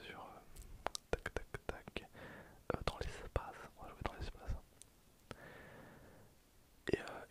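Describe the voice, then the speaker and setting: A person whispering softly in a casual, hesitant way while commenting on their own gameplay for ASMR. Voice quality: soft unvoiced whisper. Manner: casual, hesitant, thinking aloud while playing. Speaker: ASMR gaming video creator.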